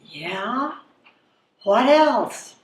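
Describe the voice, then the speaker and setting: Puzzled newborn baby played by a woman, high and expressive, dry questioning delivery, echoey stage. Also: very slow, exaggerated voice. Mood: doubtful. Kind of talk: readers theatre comedy performance. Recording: echoey stage recording with faint noise.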